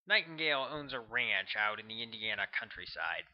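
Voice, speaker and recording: A man voicing a brisk, offhand male government agent in an audiobook, explaining things casually. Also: fairly low pitch, expressive, highs cut off, natural voice.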